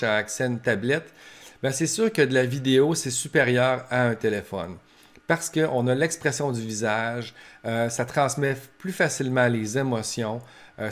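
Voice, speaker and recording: Québécois man explaining in an informal way. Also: medium pitch. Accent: Québécois French. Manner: explanatory, colloquial, acts out short examples. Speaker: professor giving advice to family caregivers. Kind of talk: informal instructional talk.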